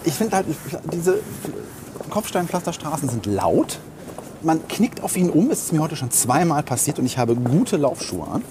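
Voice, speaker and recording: A man's voice: on the high side, big pitch swings, normal speed. A man talking casually as a podcast co-host while walking through a city, opinionated and wordy, often rephrasing himself. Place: outdoors on city streets, walking. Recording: clear outdoor city and traffic noise. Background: street ambience, vehicles.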